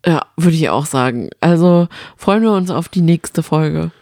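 A woman's voice: deep voice, expressive, a little slow, a little mellow, no echo, clean studio sound. A young woman co-hosting a podcast, chatting casually and telling stories in everyday speech. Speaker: co-host of a reality TV podcast. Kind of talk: casual chat, telling anecdotes.

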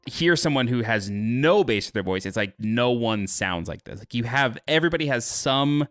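A man with a bassy voice co-hosting a podcast, blunt and opinionated in casual chat. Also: expressive, moderate pace, a bit bright, dry recording. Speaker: podcast co-host.

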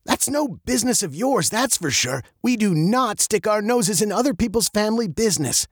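A man's voice: high-pitched, big pitch swings, normal speed, no echo, studio quality, voice pushed up, exaggerated voice. A man reading aloud and voicing a stern but kindly middle-aged father with a firm, scolding manner.

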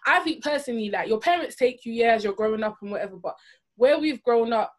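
A woman's voice: fairly high pitch, lively intonation, fast talker. A woman in casual podcast chat, talking in a chatty, slangy way and laughing as she speaks.

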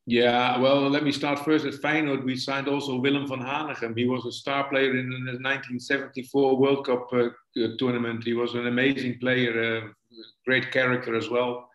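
A man in his sixties with a Dutch accent, a football coach answering interview questions haltingly, often stumbling and repeating words. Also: mid-range voice, flat delivery, a bit bright. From Netherlands. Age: 60s. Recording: echoey room, dull lo-fi connection.

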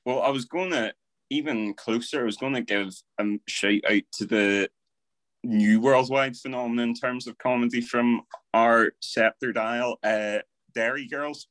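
A man's voice: medium pitch, a little slow, fairly bright tone, dry recording, low-quality recording. A young man co-hosting a podcast, talking things through with wry, teasing asides. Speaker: podcast co-host. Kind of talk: casual chat.

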